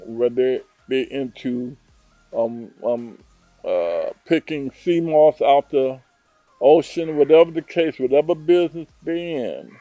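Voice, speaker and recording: Earnest man, mellow voice. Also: medium pitch, no room sound. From Detroit, USA. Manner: earnest, unhurried, with frequent fillers. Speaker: hotel owner. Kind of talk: interview answers. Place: hotel in Zanzibar.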